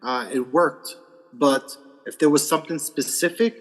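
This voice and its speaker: A man's voice: on the high side, a little slow, big-room sound, faint music behind. A man who directs films, talking loosely in a panel chat with many restarts over a video call. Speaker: film director.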